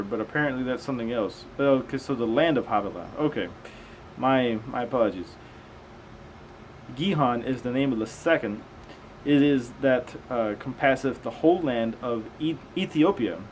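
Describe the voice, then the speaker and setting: Halting man reading aloud, noisy recording. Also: medium pitch. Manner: halting reader, stumbles over unfamiliar words; casual, conversational asides. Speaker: amateur host reading aloud and commenting. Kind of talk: reading scripture aloud with casual commentary.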